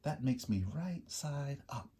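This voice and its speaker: A man's voice: medium pitch, animated, slow pace, mellow, a little hiss or hum. A man doing a know-it-all bat. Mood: teasing. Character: curious, matter-of-fact grown bat.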